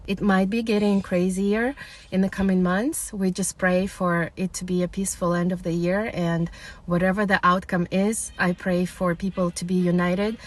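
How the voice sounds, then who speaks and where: A woman from Kazakhstan, interviewed, talking calmly and plainly with some fillers. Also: lowish voice, moderate pace. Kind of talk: casual interview.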